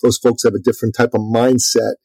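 Man, from Iran, middle-aged, gravelly, husky, dry close sound, casual interview talk, lots of ums. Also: medium pitch, flat delivery, a little fast, a little mellow, no echo. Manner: talkative, many fillers and restarts. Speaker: podcast guest and author.

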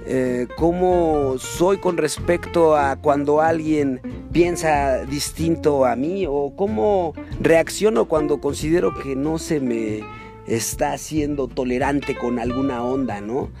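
A man's voice: fairly high pitch, slow, music in the background. A man with a Mexican accent hosting a podcast, talking in a laid-back, slangy way. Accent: Mexican Spanish. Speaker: podcast host. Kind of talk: casual podcast chat and solo commentary.

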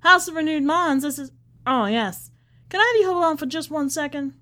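A woman's voice: high voice, animated, normal speed, pushed and bright, pitched above the performer's own voice. Folksy, devout church lady, played by a woman narrator, rambling and evasive, for a storybook reading. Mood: guarded, pointed. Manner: chatty, folksy Southern-style church talk. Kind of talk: acted storybook dialogue.

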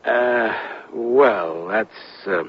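Husky, genial governor. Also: male voice, fairly low pitch, heavily acted. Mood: amused, teasing. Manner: measured, official, chuckling. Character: genial, authoritative male state governor, slyly amused. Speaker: radio drama actor. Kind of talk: 1970s radio drama acting. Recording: dull 1970s radio recording.

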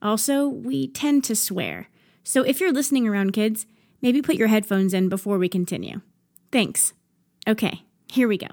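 A woman's voice: medium pitch, expressive, moderate pace, creaky, darkish tone. A woman hosting a podcast, reading upbeat, teasing scripted intros. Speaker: podcast host.

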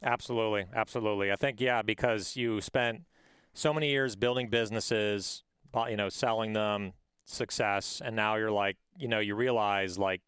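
A man's voice: fairly low pitch, fairly even intonation, normal speed, fairly bright tone, clear, resonant tone, dry recording, studio quality. A man hosting a podcast, upbeat and encouraging, often checking in with "right?". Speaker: podcast host. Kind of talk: casual interview chat.

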